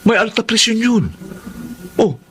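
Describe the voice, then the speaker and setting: A man's voice: high-pitched, unhurried, a bit bright, a little hiss or hum. A man acting an earnest husband torn over his family in a Filipino radio drama, with emotive delivery. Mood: defensive.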